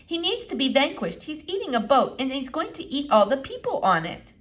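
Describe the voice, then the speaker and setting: A woman's voice: high-pitched, sing-song, a little fast, a bit bright, pitched above the performer's own voice. A woman voicing an earnest, dramatic young girl in a children's storybook, speaking bluntly and emphatically. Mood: indignant. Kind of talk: children's novel read-aloud character voice.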